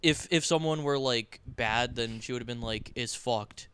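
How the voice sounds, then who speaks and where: A man's voice: mid-range voice, moderate pace, a bit bright, slight background noise. A young man co-hosting a podcast, still sick and coughing, chatting in a laid-back, profane, hesitant way. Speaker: podcast co-host. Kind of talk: casual chat.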